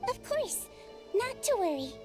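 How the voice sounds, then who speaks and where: A woman's voice: extremely high pitch, very animated, measured pace, exaggerated voice. An actress voicing a small, cute, earnest female fantasy character in a video game. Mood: calm, earnest. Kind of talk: scripted video game dialogue. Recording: faint music behind the voice.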